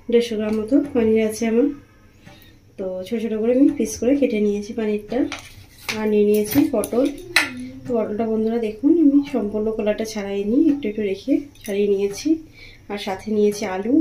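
Brief: quick, fairly high-voiced woman narrating her own cooking, recorded in an echoey home kitchen. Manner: chatty, keeps addressing viewers as friends. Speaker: cooking channel host. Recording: echoey tiled kitchen with loud frying. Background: sizzling and frying from the pan.

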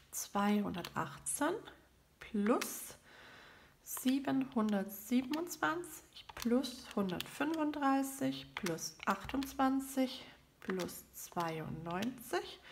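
Female host, congested with a cold, low creaky fry, relaxed thinking-aloud budget chat at her desk. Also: slight background noise. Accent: southern German. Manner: chatty, thinking aloud over numbers. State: has a cold with a stuffy nose. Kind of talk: casual talk while doing a monthly budget. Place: at a desk indoors, hands over a planner.

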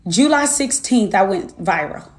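A woman's voice: mid-range voice, expressive, measured pace, a little mellow, echoey room. A woman in her twenties, a minister and life coach, preaching her testimony to viewers in an emphatic, repetitive cadence. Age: 20s.

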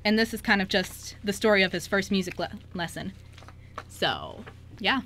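A woman's voice: medium pitch, lively intonation, moderate pace, bright, forceful tone. A woman hosting a radio show in casual, joking, rambling banter. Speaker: radio show host and skit writer.

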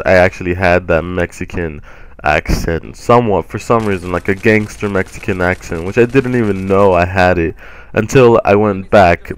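A young man with a very low, deep voice rambles chattily over gameplay, full of ums and restarts. His tone stays smooth and resonant even though his throat is a little sore. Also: low-quality recording. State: sore throat from swollen tonsils. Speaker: gaming channel host.

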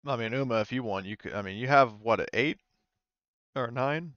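A man's voice: medium pitch, brisk, fairly bright tone, dry recording, muffled recording. A man working as game master, narrating and explaining in a hesitant, rambling way during a tabletop roleplaying game.

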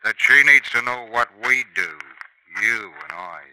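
A man's voice: lively intonation, measured pace, lightly acted. An actor playing a brooding, menacing older outlaw father in a 1950s radio drama. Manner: low, brooding, threatening. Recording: noisy old radio broadcast.

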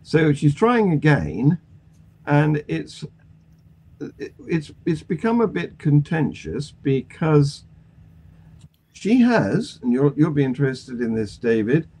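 Animated, halting man hosting a news discussion. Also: a little high, husky. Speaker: panel show host. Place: indoor home room on a video call. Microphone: video call.

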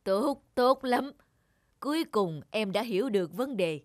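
A woman voicing a kindly, wise older male teacher in a cartoon, measured and reassuring. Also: a little high, lively intonation, no echo, studio quality. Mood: approving, wise.

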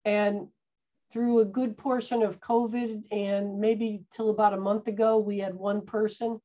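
A woman's voice: mid-range voice, level tone, measured pace, mellow. A woman who heads a town parks department, answering questions at a meeting with some hesitant restarts, speaking from a home office on a video call. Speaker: parks and recreation staff head. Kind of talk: casual meeting discussion. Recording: dull video call audio.

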